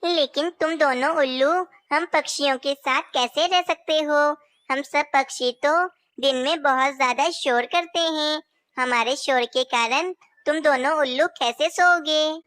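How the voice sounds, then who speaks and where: A woman voicing a high little cartoon bird. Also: unhurried, a bit bright, dry recording. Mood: calm. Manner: anxious, questioning. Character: female bird, flock leader.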